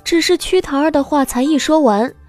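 A woman's voice: high-pitched, fairly quick. A woman narrator reading a story in a dramatic audiobook style. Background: soft background music.

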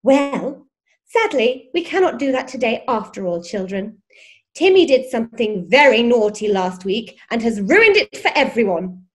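High, swooping, prim children's TV schoolmistress played by an actress. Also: female voice, very animated, normal speed. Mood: mock sweet. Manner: sing-song, exaggeratedly jolly and condescending. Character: prim, gushing, sinister children's TV schoolteacher woman. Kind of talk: parody children's show presenting.

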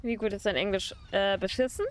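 A woman's voice: medium pitch, brisk. A young woman streaming a game, chatting casually with viewers and laughing often. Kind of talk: casual let's play chat.

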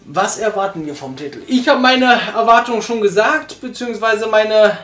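A man's voice: high-pitched, moderate pace, a bit bright, echoey room, faint music behind. A young man hosting a casual review chat on a sofa, enthusiastic and rambling with lots of fillers. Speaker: podcast host and reviewer. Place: small living room, on a sofa.

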